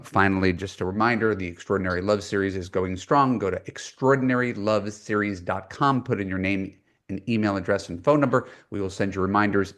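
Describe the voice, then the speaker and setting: A deep-voiced man hosts a dating-coach podcast. He talks in a loose, chatty way, full of ums and false starts, and pokes fun at himself. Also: a bit bright. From United States. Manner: chatty, self-deprecating, many fillers and restarts. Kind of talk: conversational podcast intro and coaching.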